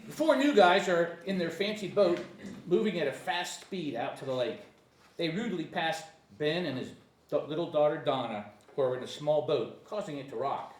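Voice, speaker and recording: Expressive male narrator in an echoey room. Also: a little high, moderate pace. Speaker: narrator at a screenplay table reading. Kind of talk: scripted narration of stage directions. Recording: echoey room, distant mic, faint noise.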